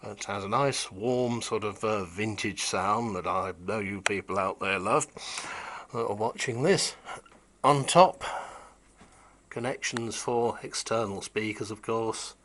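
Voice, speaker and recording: A man's voice: on the low side, unhurried, a bit bright, slight background noise. A man casually demonstrating vintage audio equipment he repaired, explaining in a chatty way with wry asides.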